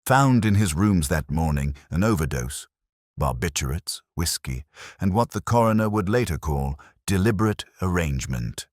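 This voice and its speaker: A melancholy, erudite police inspector narrating a mystery radio drama. His voice is exceptionally deep, with animated intonation that swings within measured, literary phrasing. The sound is dry, and the manner is brooding. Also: dry recording. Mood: brooding, wistful. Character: melancholy, erudite male detective inspector recounting a case. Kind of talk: scripted first-person mystery drama narration.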